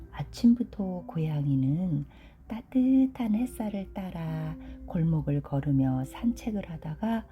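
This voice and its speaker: A woman narrating a children's bedtime story in a gentle, hushed, soothing way, drawing out her words. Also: sits low.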